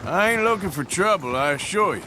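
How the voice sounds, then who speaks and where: An actor playing a dry, laconic American outlaw gunslinger in a video game. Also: male voice, high-pitched, animated, fairly quick, noisy background. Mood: guarded. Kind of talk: naturalistic video game acting.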